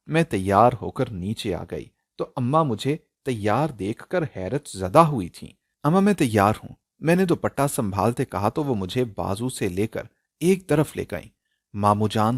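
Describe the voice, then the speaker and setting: A man narrating a scripted dramatic story in an emotional, expressive style. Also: mid-range voice, dry recording, studio quality.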